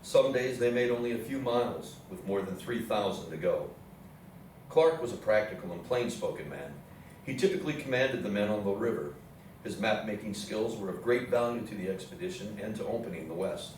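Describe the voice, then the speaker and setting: Low-voiced male narrator, very echoey. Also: expressive, fairly quick, darkish tone. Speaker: narrator in a readers theatre play. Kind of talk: scripted historical narration on stage. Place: live readers theatre performance in an echoey indoor space. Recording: very echoey room, distant mic, faint noise.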